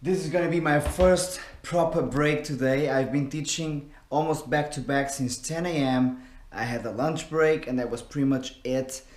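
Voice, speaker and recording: A tired, hoarse man, a drum teacher, talking casually to camera in a vlog about his teaching day. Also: mid-range voice, moderate pace.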